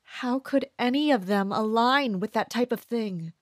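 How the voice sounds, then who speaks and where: A woman playing a guarded, distrustful commander of mercenaries in an audio drama, measured and introspective. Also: fairly high pitch, no room sound, clean studio sound, lightly acted. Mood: brooding. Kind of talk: audio drama acting with inner monologue.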